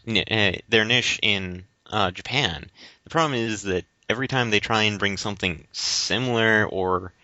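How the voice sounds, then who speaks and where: Halting man with a bright, lowish voice. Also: dry recording. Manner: halting, stammering. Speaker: podcast co-host. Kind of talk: casual debate-style chat. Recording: slightly dull, lo-fi audio.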